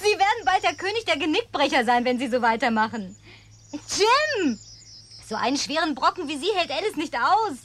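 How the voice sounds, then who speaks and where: A woman's voice: sits high, very animated, normal speed, a bit bright, slight background noise. An actress playing a worldly, wry, indulgent city woman in a German radio play, with a teasing, cool, conversational manner. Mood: alarmed.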